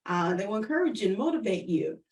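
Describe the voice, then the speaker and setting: A woman's voice: medium pitch, animated, fairly quick, darkish tone, distant mic. A woman hosting a casual livestream interview, chatting conversationally and addressing viewers directly, often repeating her phrases. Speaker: online show host and interviewer.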